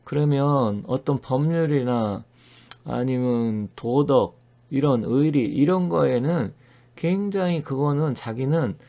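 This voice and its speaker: A middle-aged man hosting his own fortune-telling channel, giving an earnest, opinionated monologue full of pauses and fillers. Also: mid-range voice, warm tone. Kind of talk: solo monologue to camera.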